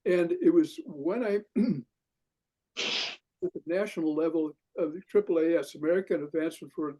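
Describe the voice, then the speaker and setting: An older man talks in a measured, halting way, pausing often and filling gaps as he thinks. His voice sits a little high but sounds mellow and soft-edged. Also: poor audio. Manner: measured, halting with many pauses and fillers. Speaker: discussion participant. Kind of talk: reflective group discussion.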